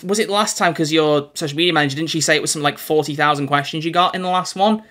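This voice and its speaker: A man's voice: fairly high pitch, rapid-fire, pushed and bright. A young man, chatting casually and effusively as a podcast interviewer, rambling a little. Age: young adult. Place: small bedroom with posters, on a video call.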